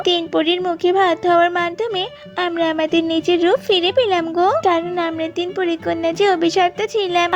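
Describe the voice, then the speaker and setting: Fairy maiden for animated folk tale acted by a woman: youthful, extremely high, earnest, thankful, folksy. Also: muffled recording. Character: grateful young fairy maiden freed from a curse.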